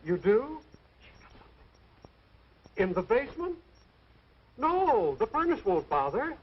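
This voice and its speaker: An actor playing a fussy, apologetic hotel clerk in an old-time radio comedy. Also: male voice, very high-pitched, huge pitch swings, takes it slowly, exaggerated voice. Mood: eager, obliging. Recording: dull, noisy old radio recording.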